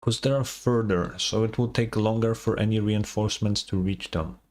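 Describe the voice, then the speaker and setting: A man's voice: on the low side, steady tone, normal speed, darkish tone. A man livestreaming a game, commenting casually in a laid-back, slangy way and reading game text aloud.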